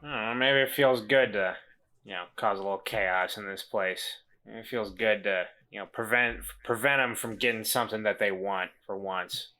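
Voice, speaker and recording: Man playing hesitant, world-weary man, bright-edged voice. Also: on the low side. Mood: downcast, wistful. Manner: halting, stammering, self-doubting. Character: Walter, hesitant, world-weary man helping lost children.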